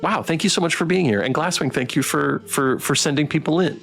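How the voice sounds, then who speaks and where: A man's voice: a little high, fairly quick, gravelly, a bit bright, faint music behind. A man chatting casually as a livestream host, hesitant and restarting his phrases, thanking people appreciatively. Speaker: streamer playing and reading a visual novel aloud.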